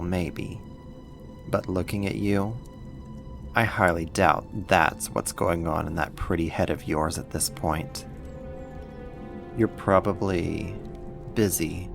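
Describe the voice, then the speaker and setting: Deep, slow-talking sadistic villain played by a man. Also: gravelly, heavily acted. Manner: slow, drawn-out teasing with sighs. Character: sadistic male villain, teasing, possessive, menacing kidnapper. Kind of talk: ASMR audio roleplay.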